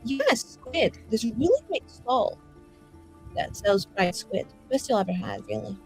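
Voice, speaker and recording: A woman voicing a coy, evasive mage with a wry, drawn-out way of answering, in a tabletop roleplay. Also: a little high, sing-song, low-quality recording. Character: evasive, coy, gifted female mage playwright. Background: music.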